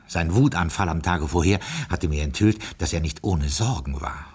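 A haughty British butler of an old castle in a radio play. His voice is very deep and somewhat husky. He speaks in measured, stiffly formal phrases with deadpan disdain. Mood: dry narrating. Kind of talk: German radio play acting with narration.

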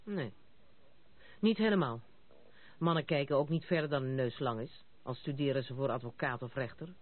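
A woman's voice: extremely low pitch, normal speed. An actress playing a frank, disillusioned young woman with a wry, direct manner in a radio drama. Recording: tinny, band-limited old radio recording.

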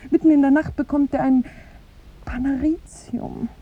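A woman's voice: sits high, fairly even intonation, normal speed, dry recording, lightly acted. An actress playing a tender, lovestruck young woman in a 1950s German radio play, with wistful, lyrical phrasing that often sinks to a whisper. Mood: fretful, tender. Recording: muffled, phone-like 1950s radio recording.

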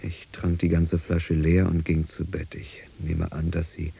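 An actor playing a weary, nervous husband giving a report, hesitant, subdued and introspective. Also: male voice, low-pitched, monotone, a little slow, creaky, warm tone, breathy edge, exaggerated voice. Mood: weary, uneasy. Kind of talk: monologue in a radio play.

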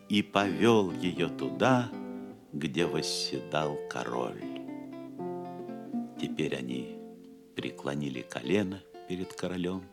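Male narrator, deep voice, unhurried pace, solemn storytelling, plucked guitar behind. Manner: solemn, measured. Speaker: narrator of an audio play. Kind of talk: scripted storytelling narration of a legend. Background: plucked guitar music.

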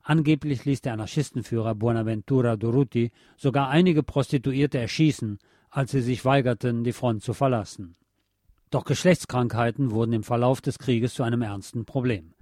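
A man narrates a scripted documentary feature in a measured, factual way. His voice is soft-edged and mellow at a middle pitch, captured very dry with no sense of a room. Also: dry recording. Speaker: radio presenter reading a historical feature.

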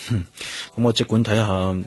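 An actor with a Hong Kong accent playing a determined, probing police detective in a radio drama. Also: male voice, lowish voice, steady tone, a little fast, slightly rough. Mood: serious, dogged. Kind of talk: Cantonese radio drama acting. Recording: music bed behind the voice.

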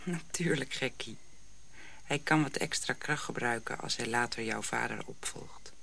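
A woman playing a gentle African mother in a radio drama, speaking in a slow, hushed storytelling way. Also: extremely low pitch, vocal fry, exaggerated voice. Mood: soothing. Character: gentle African mother soothing her young child.

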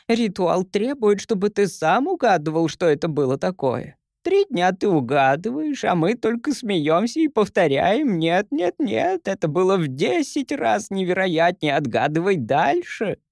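A man voicing an ancient, tiny, merry female creature who is the first grandmother, in a teasing, giggly, drawn-out manner. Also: sits high, sing-song, darkish tone, no echo, clean studio sound, voice pushed up, exaggerated voice. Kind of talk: audiobook character voice.

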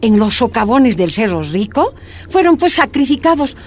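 A woman's voice: fairly high pitch, sing-song, moderate pace, faint music behind. A woman playing a proud, plain-spoken Bolivian activist giving testimony in a radio drama, with a Bolivian accent and emphatic delivery. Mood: indignant. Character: proud Bolivian miner's daughter telling her life, indignant activist.

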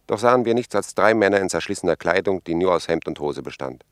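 An actor playing a grave expedition member who gives a formal, measured eyewitness report in a 1950s German radio drama. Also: male voice, low voice, lively intonation, dry recording, studio quality. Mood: tense, descriptive.

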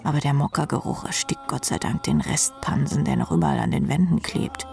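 A woman's voice: low-pitched, sing-song, fairly quick, gravelly, a little mellow, faint music behind, exaggerated voice. A woman playing a jaded, sardonic rock drummer who narrates her own life drily in a radio play. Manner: dry, sardonic. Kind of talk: scripted first-person radio drama narration.

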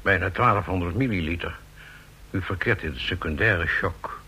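An actor playing a calm, matter-of-fact village doctor in a radio drama. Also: male voice, low-pitched, moderate pace, slightly rough, exaggerated voice. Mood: calm, brisk.

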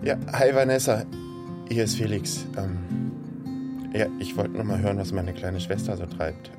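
A man plays an easygoing older brother in a radio drama, leaving a rambling, hesitant message in a low voice. Loud plucked guitar music runs behind his words. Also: fairly even intonation, unhurried, close to an everyday voice. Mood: casual, tired. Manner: hesitant, trailing off.

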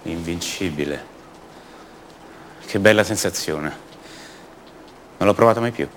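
A man's voice: low-pitched, fairly bright tone, slight background noise. An actor playing a restless, defensive young man from a privileged family in a radio monologue, hesitating and repeating words. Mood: wistful. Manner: hesitant, halting, repeats words, rhetorical tags. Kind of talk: theatrical monologue, radio drama.

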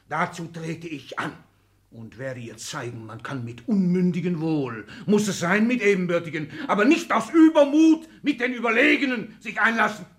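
An ailing but tyrannical old tycoon in a 1960s radio play. His voice sits on the high side, and he declaims in clipped, imperious phrases. Sudden outbursts break through the stern lecturing. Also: fairly bright tone. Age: older. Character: ailing, domineering old industrialist patriarch lecturing his children.

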